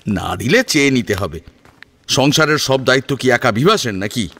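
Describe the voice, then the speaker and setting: A man narrating a scripted story in a dramatic, expressive storytelling manner. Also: mid-range voice, moderate pace.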